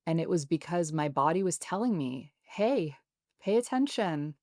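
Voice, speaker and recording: A woman in her thirties with a notably low, even voice, speaking slowly and calmly. Her delivery is soothing and measured. The sound is dry and close, with no room around it. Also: dry recording. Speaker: podcast guest, author. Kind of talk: reflective interview talk.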